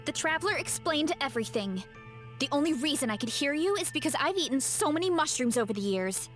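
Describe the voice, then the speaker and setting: An earnest young tribeswoman in a game, talking plainly. Her voice is high, with faint music under it. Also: lively intonation, fairly quick. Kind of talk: game voice acting.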